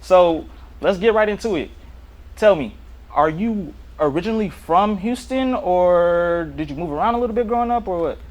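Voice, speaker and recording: A man's voice: high voice, expressive, normal speed. A man hosts a casual interview podcast with a laid-back, easygoing delivery. He tends to repeat his phrases as he reacts and asks questions. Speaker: podcast host.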